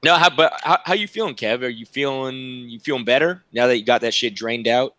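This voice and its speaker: A man's voice: mid-range voice, a little fast, sharp tone, dry recording, studio quality. A man hosting a casual group video call, joking and crude, laughing often. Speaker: show host.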